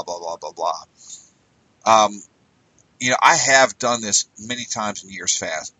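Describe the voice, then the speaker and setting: A man's voice: on the low side, pushed and bright, recorded from a distance, low-quality recording. A man in his late forties giving a casual, emphatic talk as a writing coach, sometimes sounding exasperated. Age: 40s. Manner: conversational, emphatic, a bit exasperated. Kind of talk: casual lecture on headline writing.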